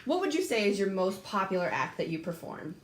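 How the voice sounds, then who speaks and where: A woman's voice: medium pitch, sing-song, a little fast. A young woman runs a scripted mock talk show, with a voice that rises and falls a lot. She sounds upbeat and reads her questions off a script. The room around her is echoey.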